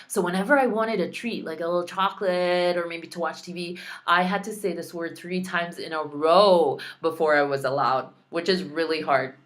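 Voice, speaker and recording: A woman's voice: on the low side, moderate pace, a bit bright. A young adult woman, a Spanish heritage speaker, teaching vocabulary in a recorded lesson with careful pronunciation and playful asides.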